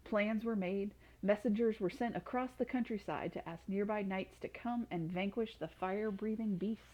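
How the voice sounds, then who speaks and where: Mellow-voiced woman reading a storybook. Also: medium pitch, normal speed, highs cut off. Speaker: storytime reader. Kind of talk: reading a children's storybook aloud.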